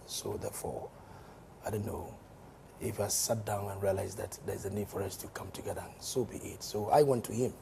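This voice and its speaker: A hoarse man with a Ghanaian accent, a member of parliament speaking in a studio interview, measured and given to repeating words as he explains at length. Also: on the low side, noisy background. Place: television studio.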